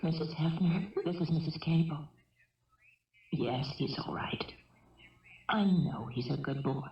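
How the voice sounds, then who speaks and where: An actress playing a gentle, composed middle-aged housewife in a 1970s radio drama, speaking carefully, politely and soothingly. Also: female voice, deep voice, a little slow, recorded from a distance. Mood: consoling. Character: gentle, composed housewife and mother held hostage. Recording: roomy, muffled old radio broadcast.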